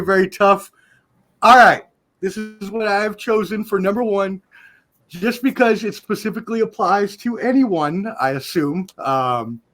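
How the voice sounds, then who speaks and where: High-pitched male guest, animated, exasperated rants, chatty podcast delivery. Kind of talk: casual chat.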